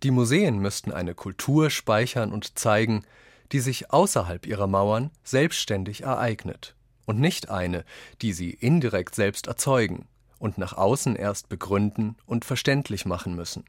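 Male quotation reader for radio essay, fairly low voice, dry studio sound. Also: expressive, measured pace, no room sound. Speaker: reader of quoted passages. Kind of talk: scripted reading in a radio essay.

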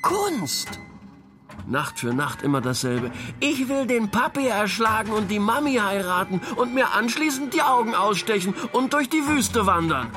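An actor playing an indignant, overworked inner dream censor in a comic radio play, sarcastic and scornful. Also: male voice, high-pitched, sing-song, measured pace. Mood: exasperated, scornful. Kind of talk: theatrical comic radio drama acting.

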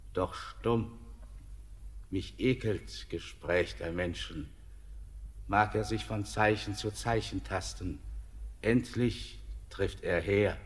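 An ancient, otherworldly mountain spirit in a radio play, with a very deep voice. He speaks extremely slowly, weighing every word of his verse. A long reverb hangs behind him, as if in a hall. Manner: very slow, weighty, declamatory verse. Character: old male mountain spirit, weary and otherworldly. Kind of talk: classic German radio play acting.

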